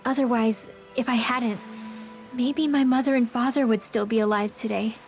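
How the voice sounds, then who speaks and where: A woman's voice: on the high side, steady tone, normal speed, soft background music, close to an everyday voice. A woman voicing a caring, orphaned young mage girl in an English video game dub, speaking casually and candidly. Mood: wistful, candid. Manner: casual, candid, gently encouraging.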